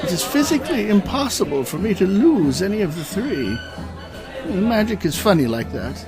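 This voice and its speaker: An actor playing a weary, aging knight in a fantasy audio drama, speaking in a formal, grandiose, archaic storyteller's manner. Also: male voice, high voice, very animated, moderate pace, over music, a crowd in the background. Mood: grave, ominous.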